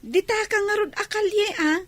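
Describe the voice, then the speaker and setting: A woman's voice: very high voice, normal speed, a bit bright. A woman with an Ilocano accent playing a friendly young fish vendor in a radio drama.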